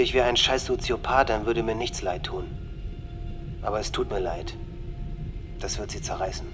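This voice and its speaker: A man's voice: medium pitch, natural voice. An actor playing an angry, manipulative teenage boy in an intense, confiding radio drama monologue in German. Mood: agitated, remorseful. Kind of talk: German radio docudrama acting, diary monologue.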